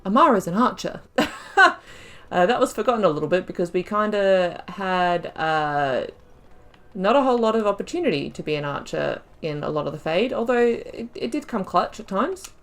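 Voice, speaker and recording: A woman gives casual commentary over a game she is playing. Her voice sits at a middle pitch, and she talks in a loose, rambling, chatty way. She is playful and quick to make light of herself. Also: expressive, fairly bright tone. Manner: chatty, rambling, self-deprecating, jokey. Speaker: video game streamer, playthrough host.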